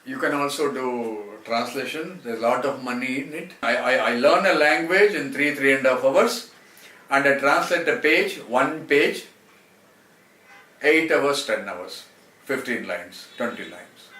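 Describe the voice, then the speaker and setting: A higher-pitched man with a South Indian accent, a native Telugu speaker, talks to viewers in a halting, repetitive ramble. The room rings around his voice, which sits back from the microphone. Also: expressive, bright, forceful tone. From Karnataka, South India. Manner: halting, repeats words and phrases. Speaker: translator and former film actor. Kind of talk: rambling autobiographical talk to viewers. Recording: roomy, distant mic, faint background noise.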